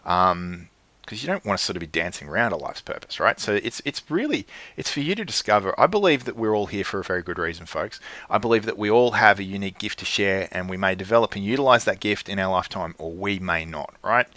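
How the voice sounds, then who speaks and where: A man coaching his clients, earnest and checking in often, with lively jumps in pitch and frequent restarts. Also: quick pace, no echo. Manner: earnest, keeps checking in with "right?". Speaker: life coach.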